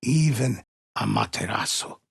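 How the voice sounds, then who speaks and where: An actor playing an older, solemn but inviting storyteller who recounts ghostly samurai legends in a theatrical, gravelly, husky voice for a video game. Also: male voice, mid-range voice, wildly swooping pitch, no echo, clean studio sound, full character voice. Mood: ominous, solemn. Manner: measured, theatrical, savoring words. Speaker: storyteller narrator. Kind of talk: dramatic scripted tale-telling.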